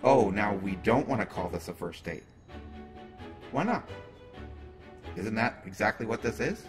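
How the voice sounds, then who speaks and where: A man playing a flirtatious, teasing superhero who is secretly smitten, in a halting, playful conversational way for a roleplay audio drama. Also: on the low side, lively intonation, over music. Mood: flirty, playful. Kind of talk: roleplay audio drama, acted monologue to listener.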